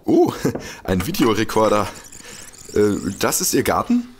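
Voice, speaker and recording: An actor playing a gullible, excitable man in a comic audio drama, stammering and easily amazed. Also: on the low side, unhurried, background noise. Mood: puzzled, curious. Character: gullible, excitable man, a food-loving paranormal enthusiast.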